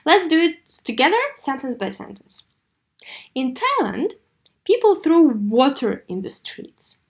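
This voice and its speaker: Female English teacher for a kids' video lesson, slightly high pitch, instructive and expressive. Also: a little slow. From Russia. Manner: didactic, expressive model reading with clear questions. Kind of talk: video lesson for third graders.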